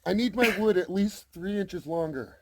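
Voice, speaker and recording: A man with a slightly high voice plays an earnest, clueless customer on a prank call. His delivery is casual and conversational, and there is light noise with clicks behind him. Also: animated, moderate pace. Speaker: prank caller.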